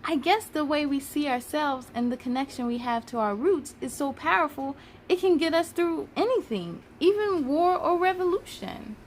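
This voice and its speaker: Woman playing a curious storybook pupil around twelve, high voice, eager and wondering, slight background noise. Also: lively intonation, moderate pace. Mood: curious, impressed. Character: curious schoolchildren of about twelve, eager and impressed. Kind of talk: children's storybook dialogue.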